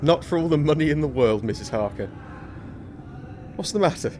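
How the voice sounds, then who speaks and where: Man playing higher-voiced, earnest young gentleman. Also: animated, normal speed, slight background noise, natural voice. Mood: concerned. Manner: formal, old-fashioned, sombre. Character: earnest, protective young English gentleman, troubled. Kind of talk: theatrical audio drama acting with narration.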